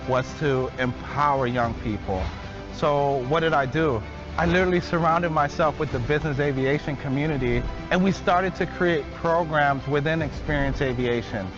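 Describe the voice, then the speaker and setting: Man's earnest voice-over over music. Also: fairly high pitch. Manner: earnest, inspirational. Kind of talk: promo video voice-over. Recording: dull audio with music under it.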